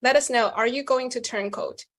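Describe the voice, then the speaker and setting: A woman hosting a debate show, talking in an animated way with chuckles. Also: on the high side, normal speed. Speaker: host.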